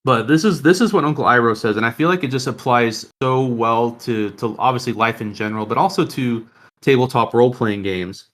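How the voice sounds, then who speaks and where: A man's voice: mid-range voice, a little fast, a bit bright. A man talking casually as the host of a podcast, explaining things conversationally.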